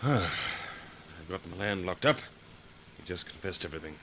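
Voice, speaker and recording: An actor playing a brave, confident amateur sleuth in an earnest 1940s radio drama. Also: male voice, low voice, lively intonation, a little slow, gravelly, exaggerated voice. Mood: explaining. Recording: faintly noisy vintage 1940s radio recording.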